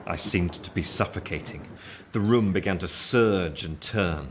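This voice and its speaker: An actor playing a solemn gentleman narrating his past in a radio play, measured and grave. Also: deep voice, heavy background noise. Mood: brooding, tense.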